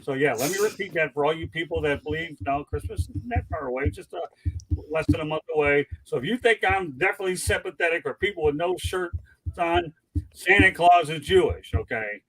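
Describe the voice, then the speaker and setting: A man's voice: fairly high pitch, big pitch swings, brisk, a bit bright, breathy edge, poor audio. A man hosting a casual sports panel show, teasing and bossing his co-hosts in a jokey way. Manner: teasing, mock-commanding, jokey. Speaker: show host.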